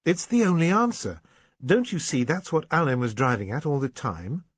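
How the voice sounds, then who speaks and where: A man voicing an anxious, intense young English gentleman in an audiobook novel, urgent and well-bred. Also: medium pitch, big pitch swings, moderate pace, slightly rough, no echo. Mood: urgent, certain.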